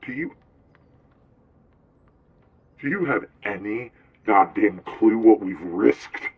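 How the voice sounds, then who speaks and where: A man's voice: on the low side, lively intonation, slow pace, breathy edge, phone-quality sound, full character voice. An actor playing a desperate, angry man in an audio drama, speaking with heated disbelief. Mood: furious. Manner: heated, incredulous. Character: desperate, angry man who risked everything on a deal. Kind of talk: naturalistic audio drama acting.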